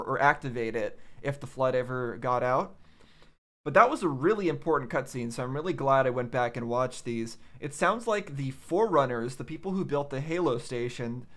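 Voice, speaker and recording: A man's voice: medium pitch, fairly bright tone. A man hosting a reaction video, commenting casually and hesitantly as he thinks aloud. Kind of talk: casual commentary on game cutscenes.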